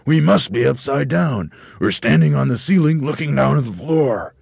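A man voicing a nasty, dim-witted old husband in a blustering, shouty storybook voice. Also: deep voice, fairly quick, creaky, no room sound, clean studio sound, full character voice. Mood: alarmed.

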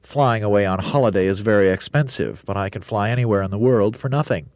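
A man's voice: lowish voice, fairly quick, a little mellow, dry recording, pitched above the performer's own voice, natural voice. A man voicing a boastful, grand male bird in a storybook reading, speaking pompously and chanting rhymes.

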